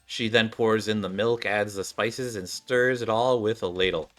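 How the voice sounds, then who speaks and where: A man's voice: on the low side, fairly even intonation, moderate pace. A man doing a casual game playthrough, reading the story aloud and commenting as he goes, with music behind him.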